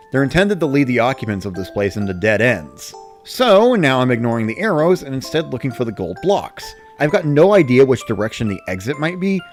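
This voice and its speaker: A man giving a scripted first-person narration, like a diary of playing a video game. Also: medium pitch. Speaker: narrator of a dramatic reading.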